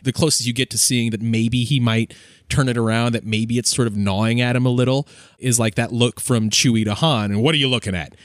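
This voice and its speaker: A man's voice: medium pitch, steady tone, a little fast, dry recording, studio quality. A man hosting a podcast, talking conversationally through a pop culture discussion. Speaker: podcast host.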